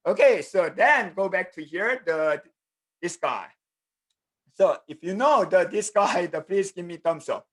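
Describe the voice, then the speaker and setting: A middle-aged Korean man teaches a programming class over a video call, speaking English with a Korean accent. His voice sits fairly high. He stops and restarts often, filling the gaps with "uh" and "the". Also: lively intonation, fairly bright tone. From South Korea. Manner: halting, with frequent fillers and repeated "the". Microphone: computer mic on a video call.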